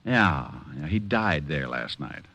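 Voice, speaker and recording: Bright-voiced, wisecracking radio private eye. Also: male voice, extremely low pitch, lively intonation, takes it slowly, slight background noise, heavily acted. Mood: pressing, sardonic. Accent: American. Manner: wry, sarcastic, hard-boiled. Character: wisecracking, cocky private detective, flirtatious with women. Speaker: detective and narrator. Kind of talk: 1940s-50s radio detective acting. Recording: old radio recording with music.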